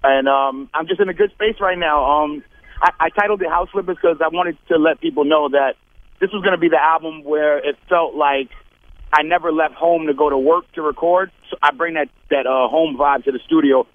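A man's voice: fairly high pitch, steady tone, a little fast. A man, a rapper calling in to a radio show, talking in an easygoing, chatty way. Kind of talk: casual phone-in interview. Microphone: phone. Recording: tinny phone line.

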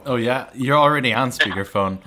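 A man's voice: medium pitch, brisk, bright, forceful tone. A man who is a priest hosting a podcast, talking casually with a teasing, informal manner.

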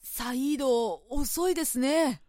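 A woman's voice: high-pitched, a little slow, mellow, no echo. A woman playing a polite, curious, naive young male scholar in a radio drama, with an inquisitive and slightly hesitant manner. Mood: puzzled. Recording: studio drama over prominent music.

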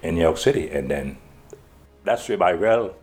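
An older man from Trinidad, talking as an interview guest in a halting way, repeating words and laughing often. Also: low voice, animated, a little mellow. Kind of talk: casual interview talk.

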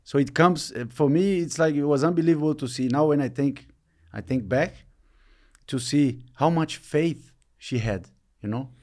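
Brazilian-born man, halting interview answers. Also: fairly high pitch, darkish tone. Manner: halting, searching for words, many fillers. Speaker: retiring football player, guest.

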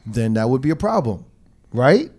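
A man's voice: medium pitch, big pitch swings, moderate pace, a little mellow. A man from New York, a podcast host, talking emphatically in a casual group chat and often restarting his sentences. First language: English.

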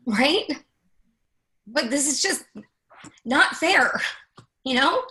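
A woman's voice: high-pitched, animated, drawn-out words, sharp tone, heavily acted. A woman playing an anxious, candid, teasing friend in a conversational, wry play reading. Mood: upset, venting. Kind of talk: readers theatre play reading.

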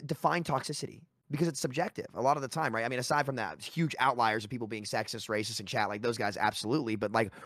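A man's voice: medium pitch, a bit bright, no echo, studio quality. A young man in a casual panel discussion, laid-back and rambling, with lots of fillers and "right?" asides. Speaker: panel guest.

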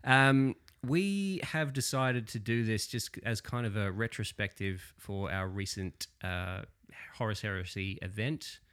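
Australian bloke hosting a table podcast, deepish voice, easygoing and self-mocking. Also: male voice, a little slow, hardly any pauses, fairly bright tone. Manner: chatty, self-deprecating, laughs often. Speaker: podcast host. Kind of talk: casual group podcast chat.

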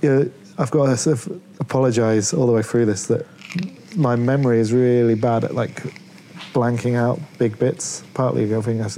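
A man's voice: mid-range voice, dark tone, a little hiss or hum. A man, an artist guest, talking casually and rambling with many restarts about his life. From England, born Plymouth, raised Leeds. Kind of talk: casual conversation.

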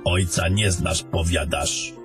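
Man acting as a low-voiced, measured cynical old wizard. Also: fairly even intonation. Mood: calm, probing. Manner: measured, lecturing. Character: older male wizard, worldly, cynical, commanding mentor. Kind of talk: fantasy audio drama acting. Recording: music under the voice.

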